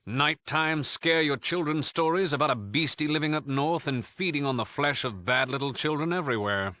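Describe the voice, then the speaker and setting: An actor playing a cheeky, worldly British gang leader in a video game, wry and flippant. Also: male voice, medium pitch, sharp tone, no room sound, close to an everyday voice. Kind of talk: video game character dialogue.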